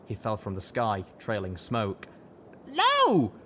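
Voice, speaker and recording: A man with a fairly low voice reading a fan-fiction audiobook in first person, steady and dramatic. A faint hiss of noise sits under the reading. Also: normal speed. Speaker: audiobook reader.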